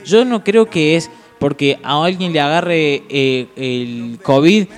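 A man's voice: a little high, slow, soft background music. A man in his twenties with an Argentine accent, chatting casually as a podcast guest. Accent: Argentine Spanish. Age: 20s. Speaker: guest singer and radio host. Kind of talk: casual chat.

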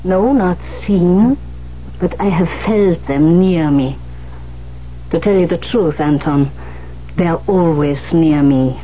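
An actress in a 1970s radio drama playing a weary, wry older pianist who survived the camps, speaking in solemn, deliberate phrases. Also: female voice, fairly low pitch. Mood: eerie, calm. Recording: muffled, noisy 1970s radio recording.

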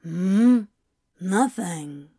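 A woman's voice: mid-range voice, expressive, very slow, mellow, husky, full character voice. A woman voicing a guarded, laconic male demon in an audiobook story, with a clipped, mumbling, offhand drawl. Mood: evasive.

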